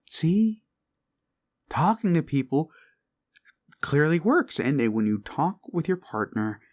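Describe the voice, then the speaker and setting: A man playing an obsessive, controlling boyfriend in an ASMR roleplay, coaxing and condescending, often slipping into breathy whispers. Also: medium pitch, heavily acted. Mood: pleased, condescending. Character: obsessive, controlling yandere boyfriend, menacingly sweet.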